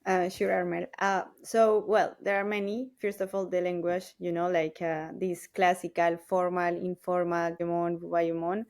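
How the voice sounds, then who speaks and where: Female guest, around forty, Colombian accent, native Spanish; lowish pitch, flat intonation, conversational. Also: normal speed, few pauses. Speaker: guest, marketing professional. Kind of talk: conversational self-introduction.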